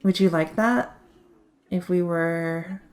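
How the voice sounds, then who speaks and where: A woman's voice: on the low side, takes it slowly, a little mellow. A woman playing a frank, caring young superhero in an audio drama, talking conversationally. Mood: shy, affectionate.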